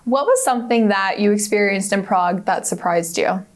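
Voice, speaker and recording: A woman's voice: mid-range voice, normal speed. A woman hosting an interview, asking brief, interested questions. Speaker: interviewer host.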